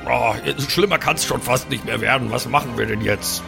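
A man with a markedly creaky, average-pitched voice takes part in an improvised tabletop role-play show. He talks casually and jokingly as a player, with faint music behind him. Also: moderate pace.

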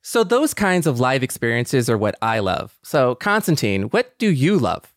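A man's voice: mid-range voice, very animated, normal speed, no room sound, clean studio sound. A man co-hosting a podcast in casual conversation, with an earnest, supportive way of talking. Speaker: podcast co-host.

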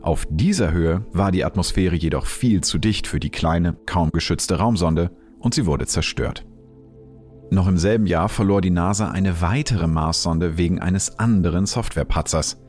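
A man giving scripted, clear explanatory science narration. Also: deep voice, moderate pace, darkish tone, soft background music.

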